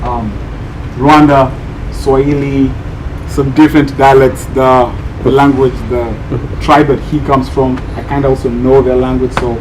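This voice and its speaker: A young man from Kenya talking to a high school class, hesitant, with many restarts. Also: little pitch movement, a little slow, background noise. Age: young adult. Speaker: student speaker at a school. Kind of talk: classroom talk.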